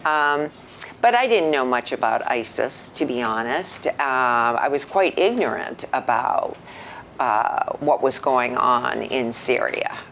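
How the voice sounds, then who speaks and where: Low-voiced older woman, thoughtful guest. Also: expressive, slow pace, a bit bright, a little hiss or hum. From New Hampshire, United States. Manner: thoughtful, earnest, with frequent ums. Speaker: interview guest. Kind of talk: conversational interview answers.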